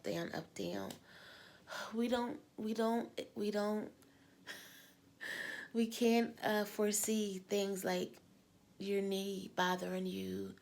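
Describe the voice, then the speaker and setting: A middle-aged woman with a husky voice, coming down with a cold, talking casually and rambling in her vlog. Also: mid-range voice. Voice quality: husky smoker's-like voice. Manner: rambling, repeats words, sighs often. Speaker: vlogger. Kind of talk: casual personal vlog talk.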